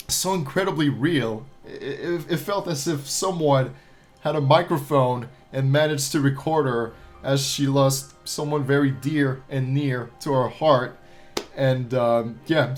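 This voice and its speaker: A man hosting a ranking video with casual, unscripted review commentary, repeating himself for emphasis in a hesitant way. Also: medium pitch. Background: background music.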